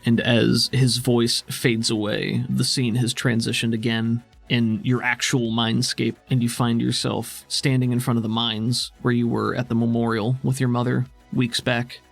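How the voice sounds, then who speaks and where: A man's voice: medium pitch, little pitch movement, a little slow, vocal fry. A man working as game master, describing scenes in a conversational, improvised way. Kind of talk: improvised tabletop game narration. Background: music underneath.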